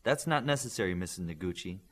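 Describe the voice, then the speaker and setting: A man's voice: low voice, animated, fast, fairly bright tone, voice pushed down. A man voicing an earnest, puzzled young superhero team leader in an audiobook, in a literal-minded manner.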